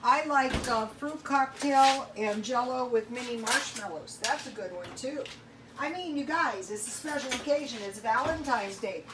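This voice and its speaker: A woman's voice: fairly high pitch, lively intonation, normal speed, very noisy. A woman chatting casually to viewers while cooking at home, thinking aloud in a rambling, folksy way. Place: home kitchen.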